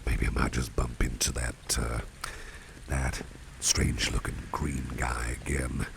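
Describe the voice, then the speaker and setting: A man playing a kindly, genteel boss in an ASMR roleplay, speaking in an unhurried, breathy half-whisper with a gravelly depth. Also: rumbling low, level tone, a little hiss or hum, exaggerated voice. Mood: musing, relaxed. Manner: genteel, unhurried, repeats phrases for emphasis. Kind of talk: ASMR roleplay, soft-spoken personal attention.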